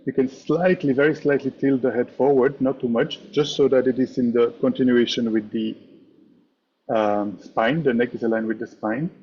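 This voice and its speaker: A man leading a guided meditation as a teacher, speaking in measured, instructive short phrases with pauses. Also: mid-range voice, fairly even intonation, darkish tone, dull audio, a little hiss or hum. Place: online meditation session over video call.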